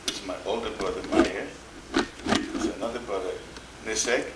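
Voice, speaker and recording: An older man from Poland giving oral history testimony in a slow, halting way, often repeating words. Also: fairly low pitch, steady tone. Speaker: interviewee, Holocaust survivor. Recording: echoey room with background noise.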